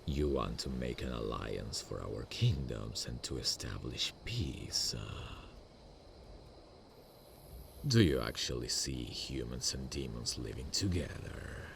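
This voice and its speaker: A man playing an imposing, amused demon king in a romantic fantasy ASMR roleplay, speaking in a courtly, formal, deliberate way with a gravelly voice. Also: animated, a little hiss or hum, full character voice. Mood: skeptical. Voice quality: gravelly, very deep.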